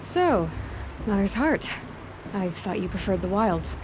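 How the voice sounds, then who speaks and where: A woman as a young outcast huntress in a fantasy game. She is wary and blunt, speaking tersely in a mid-range voice over clear ambient sound. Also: medium pitch, expressive, unhurried, close to an everyday voice. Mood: dry teasing. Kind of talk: video game voice acting. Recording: clear ambient noise behind voice.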